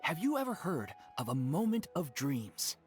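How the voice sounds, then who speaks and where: A woman playing a polite young event organizer with a courteous, gently formal way of speaking. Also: sits low, sing-song, moderate pace. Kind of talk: video game voice acting.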